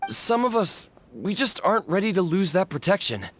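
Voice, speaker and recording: Anxious, honest game townsperson actor in character: hesitant, apologetic, lively pitch movement, slight background noise. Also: normal speed, natural voice. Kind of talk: animated game character acting.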